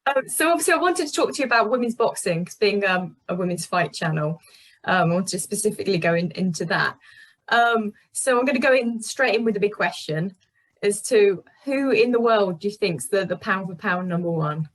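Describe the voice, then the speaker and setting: A woman's voice: mid-range voice, fairly quick, poor audio. British woman interviewer, casual and tentative. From Cornwall, England.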